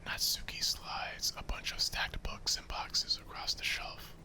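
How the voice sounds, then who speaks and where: A man's voice: measured pace, bright, forceful tone. A man narrating a visual novel in a whispered ASMR style.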